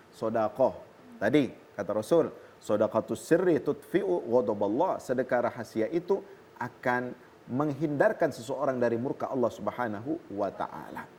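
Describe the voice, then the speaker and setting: An Indonesian man preaches at an easy pace in a television studio. His voice sits at an average pitch, and faint noise hums behind it. He quotes Arabic scripture and then explains each point. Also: moderate pace. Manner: calm preaching, quoting Arabic scripture and translating it. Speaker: Islamic preacher answering viewer questions. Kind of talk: religious talk on a television program.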